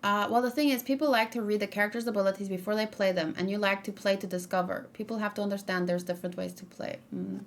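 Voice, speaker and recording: A woman streamer talking at an ordinary, middling pitch, chatting casually with her viewers during a live stream. She thinks out loud, drifts between thoughts, and often pauses to restart a sentence. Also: steady tone. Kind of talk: casual live-stream chat, reacting and reading chat.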